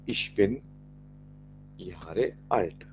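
A man with an Indian accent, whose first language is Marathi, teaching an online German class, slowly repeating each German phrase for his learners. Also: low voice, slight background noise.

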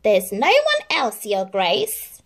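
A woman voicing a scheming, haughty middle-aged stepmother villain in a storybook, speaking deliberately. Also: mid-range voice, wildly swooping pitch, exaggerated voice. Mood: smoothly lying.

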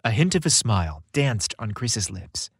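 A man's voice: fairly low pitch, very animated, a little slow, slightly rough, dry recording, clean studio sound. A man reading a romantic story aloud as a scripted narration.

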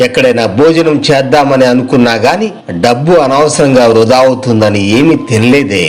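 A man's voice: mid-range voice, moderate pace, lightly acted. Struggling shopkeeper husband in a moral tale, voiced by a man: tired, proud, firm and direct. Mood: tired, hungry. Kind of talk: moral story voice acting.

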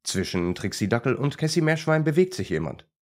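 A man's voice: mid-range voice, big pitch swings, a little fast. A man narrating a scripted story for children as a storyteller.

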